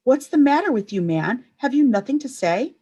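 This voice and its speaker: A woman voicing a troubled Roman governor who shouts at a crowd. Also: high voice, expressive, normal speed, slightly rough, lo-fi sound, voice pushed up. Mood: agitated. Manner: shouting, strained and pleading.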